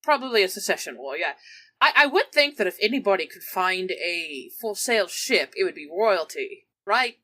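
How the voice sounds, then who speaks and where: A woman's voice: medium pitch, big pitch swings, normal speed, sharp tone. A woman role-playing in a tabletop game with casual, theatrical, flamboyant banter. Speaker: player in a tabletop game podcast.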